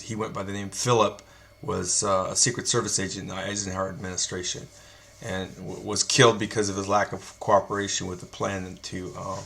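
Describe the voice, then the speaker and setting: Low-voiced man interviewing haltingly. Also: gravelly, fairly bright tone. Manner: halting, with many fillers and slow, searching phrasing. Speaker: interviewer and host. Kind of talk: conversational interview.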